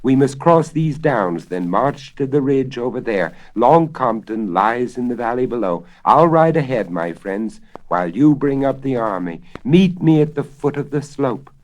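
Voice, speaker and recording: Ambitious invading king for a storybook tale, played by a male reader: commanding, declamatory, slow and proud. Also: mid-range voice, animated, voice pushed up, close to an everyday voice, low-quality recording. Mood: commanding, eager.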